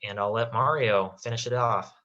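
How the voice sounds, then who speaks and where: A man's voice: fairly low pitch, steady tone, normal speed, sharp tone, highs cut off. A young man from Las Vegas, a medical student, introducing himself casually on a video call with lots of "like" and "um".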